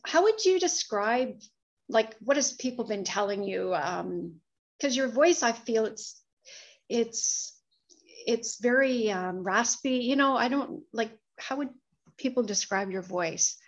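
A woman's voice: a little high, lively intonation, slow. A woman interviewer who hesitantly asks questions in a casual interview, using fillers. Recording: dull, lo-fi phone line.

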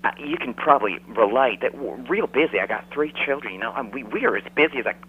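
A man's voice: medium pitch, lively intonation, fast talker, narrow, tinny audio, a little hiss or hum, lightly acted. A man voicing a chatty, folksy middle-aged Southern mother with a Southern US accent who gushes and rambles on a radio call. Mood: gushing. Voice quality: gushing, folksy, rambling, says "y'all". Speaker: radio caller. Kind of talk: phone-in radio chat.

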